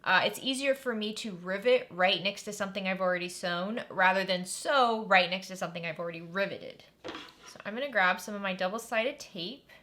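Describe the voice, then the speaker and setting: Female host, friendly craft-tutorial read: bright tone, mid pitch, chatty step-by-step explaining. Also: brisk. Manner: chatty and upbeat, narrating while working.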